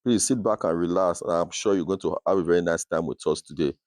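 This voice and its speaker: A man's voice: low voice, fast, darkish tone, no echo, studio quality. A man hosting a podcast, introducing the show and interviewing a guest.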